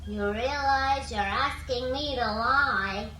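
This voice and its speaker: An actress playing a chatty, petulant ship's computer with a silly voice and a breezy, officious manner in a radio science fiction comedy. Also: female voice, on the high side, slow, bright, forceful tone, roomy sound, recorded from a distance, slight background noise. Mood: coy. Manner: breezy, officious, matter-of-fact.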